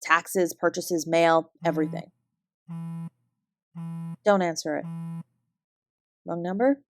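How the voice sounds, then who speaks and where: A woman's voice: on the low side, fairly even intonation. A woman playing a grim Canadian investigator. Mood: guarded. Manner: measured, clipped report-like delivery. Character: guarded female fraud investigator, weary and grim. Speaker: investigator being interviewed. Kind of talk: audio drama monologue.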